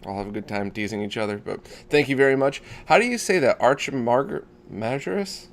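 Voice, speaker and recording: A man's voice: mid-range voice, animated, brisk. A man hosting a casual live stream chat over a video call, chatty and laughing often. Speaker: host.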